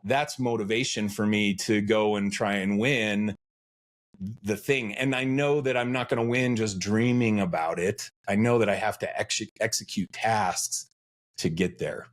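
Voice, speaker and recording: A man's voice: on the low side, a little slow. A middle-aged man from the United States, a guest on an interview podcast, talking in an earnest, reflective, self-questioning way. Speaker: podcast guest, freelance educator. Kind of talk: conversational interview.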